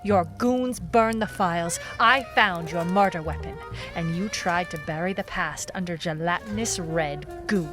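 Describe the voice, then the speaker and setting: A woman's voice: fairly low pitch, measured pace, music in the background, lightly acted. A woman playing a wry, hard-boiled private eye, clipped and dry, in a noir detective audio drama. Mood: accusing. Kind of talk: noir detective narration and radio-drama acting.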